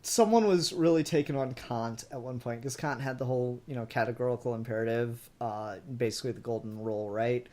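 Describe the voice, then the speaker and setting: A man hosting a podcast in a casual, animated review chat. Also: mid-range voice, a little fast, hardly any pauses. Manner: giggly, animated quote re-enacting. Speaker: podcast host.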